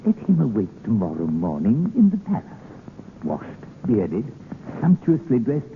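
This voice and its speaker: A man's voice: lowish voice, huge pitch swings, unhurried, exaggerated voice. An actor playing a wily, mocking, imperious king in vintage radio drama style, with commanding, theatrical delivery and muttered hums. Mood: scheming. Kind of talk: 1940s radio acting. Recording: tinny, hissy old radio broadcast.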